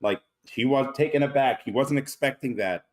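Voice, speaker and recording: A man's voice: medium pitch, normal speed. A man giving casual, blunt, explanatory criticism in a group feedback discussion. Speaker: table read participant giving feedback.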